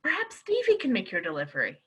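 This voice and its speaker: Woman acting a spirited wife, bright raspy voice. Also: medium pitch, huge pitch swings, fast talker, exaggerated voice. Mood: affectionate, brisk. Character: loyal, spirited London wife, protective and indignant. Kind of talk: theatrical radio play acting.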